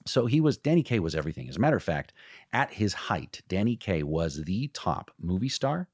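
A voice actor from Toronto with an American accent, Greek his first language, chatting excitedly in an interview. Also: sits low, a little fast, breathy edge, no room sound, clean studio sound. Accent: General American. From Toronto, Canada. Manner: animated, excitable, with restarts and impressions. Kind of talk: casual interview chat.

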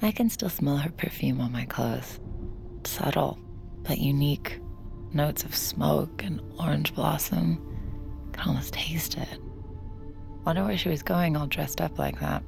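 A woman's voice: deep voice, unhurried, creaky, over music, heavily acted. An actress playing a guilt-ridden, wistful young woman in an intimate audio drama, hesitant and murmuring. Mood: wistful, smitten. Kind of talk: intimate audio drama acting with hushed inner monologue.

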